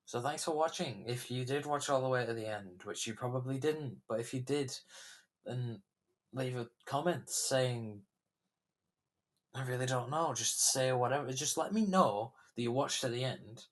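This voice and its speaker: A man's voice: medium pitch, normal speed. Rambling young man hosting a podcast. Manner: rambling, chatty, many fillers and restarts. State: sore throat. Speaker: podcast host. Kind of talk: casual solo podcast chat.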